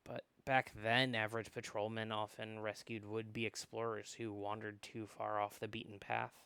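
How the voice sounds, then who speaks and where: Bright, crisp voice reading and chatting. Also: mid-range voice, normal speed, dry recording. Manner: animated, rambling, self-interrupting. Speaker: co-host reading a visual novel aloud. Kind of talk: casual chat mixed with dramatic read-aloud.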